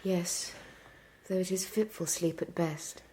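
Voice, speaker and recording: An actress playing an anxious, fearful young Victorian lady in an audio drama, hushed, timid and fretful. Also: lowish voice, slow pace, a little hiss or hum. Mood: worried, hushed.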